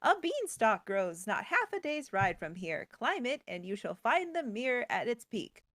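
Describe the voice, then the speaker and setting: A woman voicing an unseen fae lord who gives out quests in formal, measured pronouncements, in a storytelling show. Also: on the high side, big pitch swings, a bit bright, dry recording. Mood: solemn.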